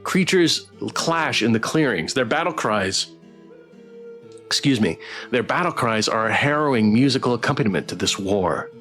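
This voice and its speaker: A man's voice: mid-range voice, expressive, moderate pace, fairly bright tone. A man streaming a visual novel playthrough, reading and reacting in a theatrical storytelling way. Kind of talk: visual novel playthrough with read-aloud narration and chat reactions. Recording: music behind the voice. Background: music.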